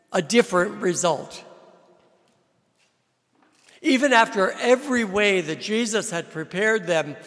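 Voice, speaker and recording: A man preaching a sermon as a visiting priest, from New Jersey, with slow, deliberate delivery and emphatic rises. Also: sits high, fairly bright tone. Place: large church with long reverb. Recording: reverberant church hall.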